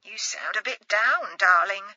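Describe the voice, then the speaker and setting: A woman's voice: mid-range voice, expressive, measured pace, pushed and bright, dry recording, exaggerated voice. A woman doing a timid, posh older mother. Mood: timid, fond. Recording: slightly dull, dry recording.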